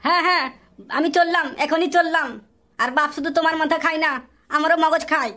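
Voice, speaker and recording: A performer voicing a whiny, foul-mouthed young son in a comedy cartoon, with an artificially pitched-up voice. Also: fairly even intonation, brisk, bright, forceful tone. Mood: indignant. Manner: whiny, quarrelsome, swearing. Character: aggrieved, foul-mouthed young son pestering parents for money. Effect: artificially pitched-up cartoon voice filter.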